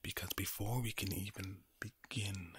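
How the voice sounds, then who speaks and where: A man with an Irish accent giving soothing ASMR affirmations in a low, breathy, gravelly whisper, slowly and deliberately, repeating his phrases. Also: fairly even intonation, fairly bright tone, a little hiss or hum. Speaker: ASMR creator.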